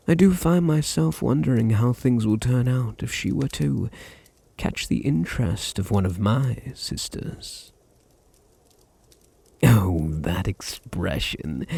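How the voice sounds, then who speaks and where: A man performs a seductive British vampire master in an intimate ASMR roleplay. His voice is dark and husky with a creaky edge, often sinking toward a whisper. He purrs condescending endearments, domineering and teasing. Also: on the low side, dry recording. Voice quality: breathy, often dropping to a whisper. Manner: slow, purring, condescending endearments. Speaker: audio roleplay voice actor.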